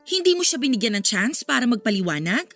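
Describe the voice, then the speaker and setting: Casting: actress for dramatic, protective Filipina best friend, very high voice, swooping emphatic audio drama delivery. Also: female voice, fast talker, soft background music, full character voice. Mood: probing. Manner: expressive, incredulous, emphatic. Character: protective, dramatic female confidante, easily scandalized.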